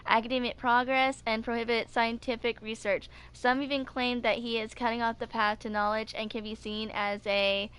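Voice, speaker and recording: A woman's voice: on the high side, level tone, moderate pace, few pauses, fairly bright tone, clean tone. A woman in her twenties casually reading game character lore aloud, stumbling and correcting herself as a video host. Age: 20s.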